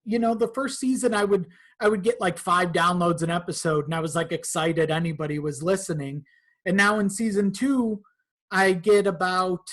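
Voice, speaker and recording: A man talking casually as a podcast guest, informal and conversational, with some swearing. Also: sits high. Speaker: podcast guest, content creator.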